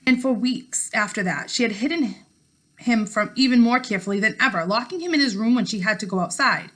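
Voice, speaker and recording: A woman teacher reading a story aloud to her class in an expressive way, with casual remarks. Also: a little high, fairly quick.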